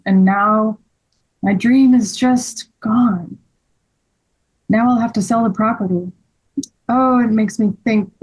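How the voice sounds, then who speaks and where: A woman's voice: medium pitch, a little mellow, natural voice, rough recording. Woman as a distraught, worn-down store owner. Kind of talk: table read of a scripted story.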